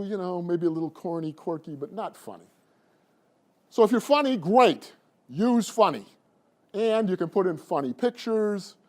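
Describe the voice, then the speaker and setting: A middle-aged man coaching public speaking, talking to a class in an animated, conversational way. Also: high voice. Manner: animated and conversational, addressing the room. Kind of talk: presentation to a class.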